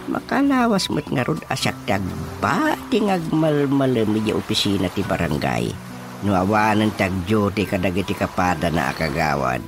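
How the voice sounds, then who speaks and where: A playful older Filipino father in a radio comedy drama. His pitch swoops widely while he takes his time, full of drawn-out interjections and hums, with music playing loudly behind him. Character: older village father, playful, easily amused, fussing over his coffee.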